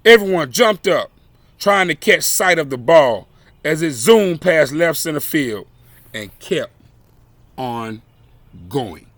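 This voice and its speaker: A man reading a children's picture book aloud as a storyteller, with dramatic, deliberate phrasing. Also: a little high, lively intonation, fairly bright tone, no echo.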